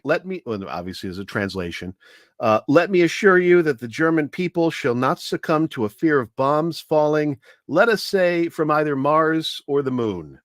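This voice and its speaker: A man giving an informal, explanatory history talk with anecdotes, speaking in a measured, hesitant way as a commentator. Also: mid-range voice, dry recording, studio quality.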